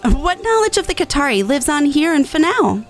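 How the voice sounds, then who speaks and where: A woman's voice: high-pitched, very animated, moderate pace, faint music behind. A woman voicing a small, earnest young rodent-folk scholar in a video game, speaking politely in formal, archaic phrases. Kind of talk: reading video game dialogue in character.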